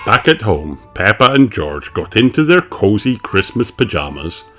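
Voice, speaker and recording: A man reading a children's storybook in an unhurried, expressive way. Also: on the low side, soft background music. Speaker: audiobook reader.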